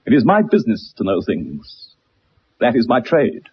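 An actor playing a keen, self-assured gentleman detective with precise, formal speech in a 1960s radio drama. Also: medium pitch, wildly swooping pitch, normal speed, breathy edge, heavily acted. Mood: firm, composed. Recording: muffled old radio recording.

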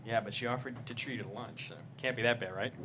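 A man's voice: medium pitch, steady tone, quick pace, fairly bright tone, lightly acted. Man acting a teasing office buddy, noisy recording. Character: teasing office buddy in his early thirties. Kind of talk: table read of a thriller script. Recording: clear background noise.

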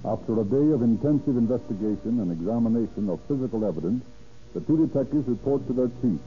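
A man's voice: mid-range voice, animated, quick pace. A man narrating a crime drama in scripted 1930s radio style. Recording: tinny old radio recording with music.

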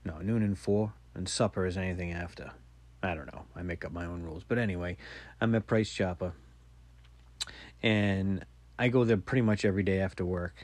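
Low-voiced wry man in his forties. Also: steady tone, a little fast, darkish tone, no echo. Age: 40s. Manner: rambling, wry and self-mocking; swears casually. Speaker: podcast host. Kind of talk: casual solo storytelling.

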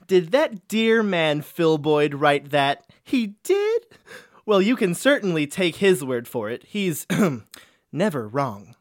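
A woman's voice: low-pitched, big pitch swings, slow, dry recording, clean studio sound, exaggerated voice. A woman playing a pompous, flirtatious male impresario who presents comic literary skits in a grandiose, giggly manner. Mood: coy, amused. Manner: grandiose, fawning, chuckling.

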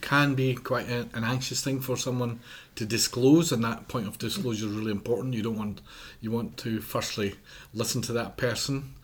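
A man's voice: medium pitch, steady tone, normal speed, hardly any pauses. A man, speaking earnestly as a guest on a podcast and often restarting his sentences while he gives advice. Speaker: mental health charity policy director.

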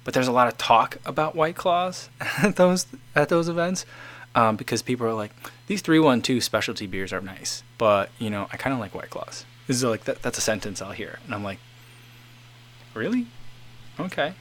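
Man in his forties, rambling, chatty, casual host, middling pitch. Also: expressive, moderate pace, a bit bright. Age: 40s. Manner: rambling, chatty, trails off and restarts. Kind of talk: casual chat reading viewer comments.

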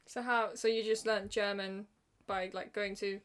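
A woman's voice: mid-range voice, little pitch movement, a little slow. A young woman hosting a casual podcast, giggling and rambling, often restarting her questions mid-sentence. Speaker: podcast host. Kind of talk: casual interview chat.

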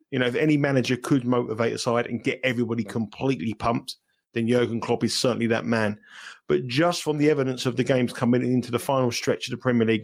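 London-accented male betting analyst, chatty and animated, mid-range voice. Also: mid-range voice, gravelly. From England. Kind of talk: podcast interview.

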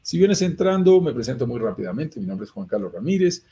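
A man's voice: medium pitch, very animated, brisk, mellow. A middle-aged Colombian man with a Colombian accent, hosting a live real estate investment stream, earnest and full of "eh" fillers. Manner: earnest, lists synonyms, frequent "eh" fillers. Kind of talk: livestream presentation to viewers.

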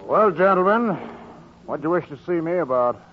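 An actor playing a skeptical city mayor with a formal, official manner in a 1940s radio drama. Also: male voice, fairly high pitch, animated, fairly quick. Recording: dull vintage 1940s radio broadcast.

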